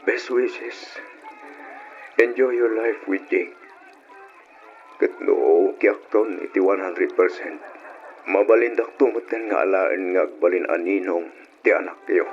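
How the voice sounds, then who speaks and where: Casting: a jilted, forgiving suitor, low earnest voice, radio drama on a muffled, noisy recording. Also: male voice, slow, long pauses. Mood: resigned, gracious. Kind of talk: Ilocano radio drama acting.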